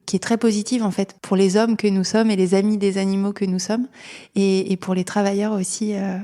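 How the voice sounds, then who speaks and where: A woman's voice: medium pitch, dark tone. A woman hosting a podcast interview, speaking hesitantly with many fillers. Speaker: podcast host. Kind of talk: casual interview talk.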